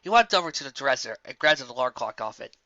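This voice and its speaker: A man's voice: mid-range voice, very animated, quick pace, bright, forceful tone, dry recording. A man reading scripted fan fiction as a narrator, on a slightly dull, muffled recording.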